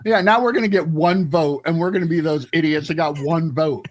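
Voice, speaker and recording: A man's voice: a little high, brisk. A man co-hosting a card podcast, teasing and mock-exasperated in casual chat. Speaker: podcast co-host.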